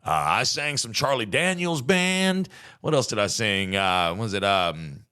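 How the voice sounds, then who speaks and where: An American man with a slightly hoarse voice, hosting a podcast in a chatty, rambling, folksy monologue. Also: lowish voice, big pitch swings, unhurried, sharp tone. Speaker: podcast host. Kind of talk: casual solo monologue, podcast intro.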